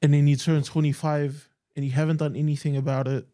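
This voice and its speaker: Man, Tongan speaker, young adult, podcast co-host, earnest, emphatic, mellow tone. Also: a little high, little pitch movement, a little fast. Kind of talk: casual chat.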